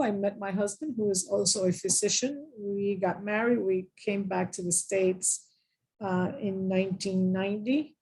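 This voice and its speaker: A woman's voice: mid-range voice, a little mellow, breathy edge, low-quality recording. A woman in her fifties from the Dominican Republic, a physician and professor, introducing herself plainly on a panel. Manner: plain, matter-of-fact with fillers.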